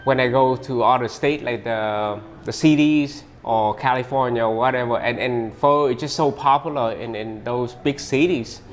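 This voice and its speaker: A man who owns a pho restaurant, talking in an interview in halting English with many restarts. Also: medium pitch, slight background noise. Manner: halting, restarts often, non-native English phrasing.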